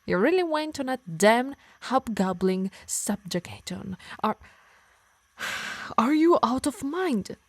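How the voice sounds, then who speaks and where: A woman's voice: a little high, very animated, takes it slowly, no room sound, heavily acted. A woman playing a possessive, teasing harpy healer who scolds fondly in an ASMR roleplay. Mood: alarmed, scolding. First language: Italian.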